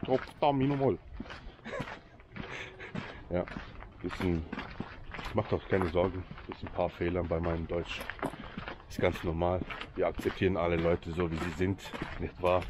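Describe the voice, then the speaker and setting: A deep, low-voiced man with a Swiss accent talks casually to his viewers, joking as he walks. He is outdoors by a lake, with clear outdoor noise behind him. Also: normal speed, long pauses. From Switzerland. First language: Swiss German. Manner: chatty, joking, addresses viewers directly. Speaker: vlogger. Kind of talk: casual vlog commentary to viewers.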